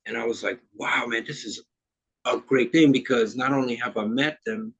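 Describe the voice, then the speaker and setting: A man's voice: mid-range voice, moderate pace, gravelly, fairly bright tone, husky. A man in his fifties from Trinidad, a musician guest talking in an easygoing, rambling way with plenty of fillers. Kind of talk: casual interview chat.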